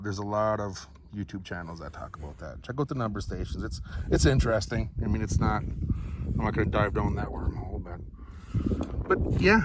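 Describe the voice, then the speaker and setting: A man's voice: lowish voice, background noise. A man giving a casual, unscripted product review to viewers in a laid-back, rambling, folksy way.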